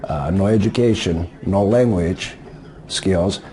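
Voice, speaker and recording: A man in his sixties from Greece, answering interview questions in a casual, hesitant way with many pauses and fillers. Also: low voice, warm tone. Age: 60s.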